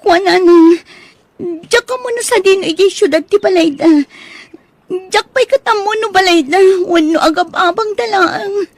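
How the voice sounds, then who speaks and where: A woman's voice: very high-pitched, a little slow, pauses often, dry recording. A woman playing a headstrong, pleading young daughter in an audio drama, speaking in a whiny, emotive way. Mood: insistent. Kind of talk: Ilocano audio drama acting.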